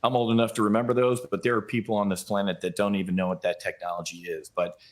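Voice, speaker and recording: A man's voice: lowish voice, level tone, fast. A middle-aged American man giving blunt, colloquial commentary as a pilot guest in a live news interview.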